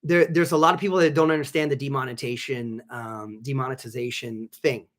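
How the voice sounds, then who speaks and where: A man working as a physician assistant, slightly raspy from allergies, hosting a casual live stream and rambling through many restarts while reading out viewers' names. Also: medium pitch, fairly even intonation, brisk, a bit bright. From Southern California. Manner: rambling, many restarts and fillers, reads chat names aloud. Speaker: physician assistant and channel host.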